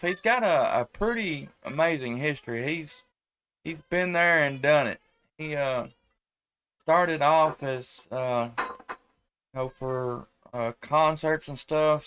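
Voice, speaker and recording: Male, 30s, slightly higher-pitched, smooth tone, easygoing rambling radio hobbyist, dry headset capture. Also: a bit bright. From United States. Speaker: amateur radio operator and live stream host. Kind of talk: casual ham radio chat. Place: at home indoors. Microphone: headset microphone.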